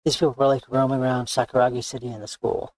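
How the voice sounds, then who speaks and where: A man's voice: mid-range voice, level tone, fast, darkish tone, no echo, lightly acted. A man voicing a cocky, bossy young woman tracker, boastful and blunt, reading visual novel game dialogue. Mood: businesslike. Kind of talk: character read-aloud of game dialogue. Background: background music.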